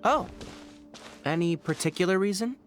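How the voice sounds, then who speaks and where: An actor playing a young, knowledgeable forest ranger in a video game, articulate and instructive with a dry, teasing manner. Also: male voice, sits high, expressive, normal speed, over loud music. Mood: dry, analytical. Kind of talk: scripted video game voice acting.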